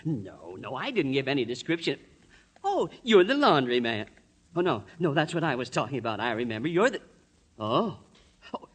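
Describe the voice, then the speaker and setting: An actor playing a fussy, timid American hotel desk clerk in a 1950s radio drama, prissy and stammering, on a noisy old recording. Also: male voice, medium pitch, sing-song, normal speed, breathy edge. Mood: flustered.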